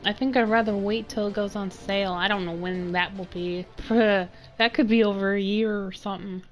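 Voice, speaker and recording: A woman's voice: medium pitch, fairly even intonation, moderate pace, over music. A woman game streamer chatting casually while she plays. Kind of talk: casual chat over gameplay.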